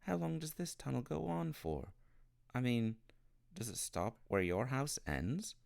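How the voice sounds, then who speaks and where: A man's voice: mid-range voice, big pitch swings, measured pace, creaky, darkish tone, no echo, clean studio sound, pitched above the performer's own voice, exaggerated voice. A man voicing an eager, bossy and imaginative schoolboy in a storybook reading. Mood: curious, eager.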